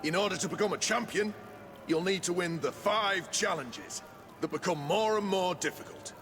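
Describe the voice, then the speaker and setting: An actor playing a showy, formal arena master in a theatrical fantasy game style. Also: male voice, high-pitched, normal speed, a bit bright. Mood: smooth salesmanship. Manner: flamboyant, formal, showman-like. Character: showy male arena master announcing fights to the crowd. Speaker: arena announcer. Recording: long echoing reverb.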